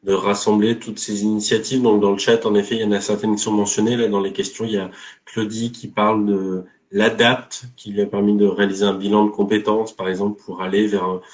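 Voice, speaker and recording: A man's voice: fairly low pitch, level tone, brisk, reverberant. A man moderating a webinar, hesitantly relaying questions from the chat.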